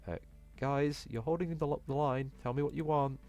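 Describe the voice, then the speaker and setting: A man voicing a brisk, obliging school cook in a visual novel. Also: fairly high pitch, fairly even intonation, darkish tone, faint music behind, voice pushed up, lightly acted. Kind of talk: visual novel character reading.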